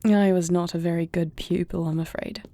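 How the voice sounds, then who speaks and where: A woman playing an earnest young princess and heir in an intimate audio roleplay, soft-spoken and formal in her phrasing. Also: lowish voice, steady tone, moderate pace. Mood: fond, playful. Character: earnest young princess and warrior heir, anxious yet tender.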